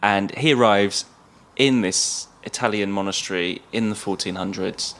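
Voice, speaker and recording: A man's voice: on the low side, moderate pace, a bit bright, a little hiss or hum. A middle-aged man co-hosting a podcast in casual chat, often chuckling as he talks. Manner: chuckles often while talking. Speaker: podcast co-host. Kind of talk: casual chat about films and books.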